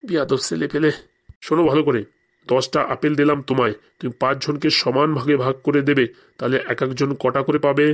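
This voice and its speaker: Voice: medium pitch, fairly even intonation, a little fast, natural voice. A performer voicing a stern, sarcastic male headmaster in a comedy cartoon. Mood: exasperated, sarcastic. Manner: sarcastic, scolding interrogator.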